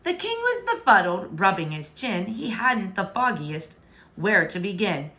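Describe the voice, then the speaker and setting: A woman reading rhyming children's fiction aloud in an expressive, theatrical, emphatic way. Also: medium pitch, a little slow. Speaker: storybook reader. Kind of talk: animated, rhyming children's story narration.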